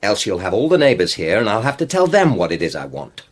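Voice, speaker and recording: An actor playing a determined, dryly courteous middle-aged English publisher and wronged husband in a naturalistic radio drama. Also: male voice, fairly low pitch, wildly swooping pitch, fast talker, a little hiss or hum. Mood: confrontational.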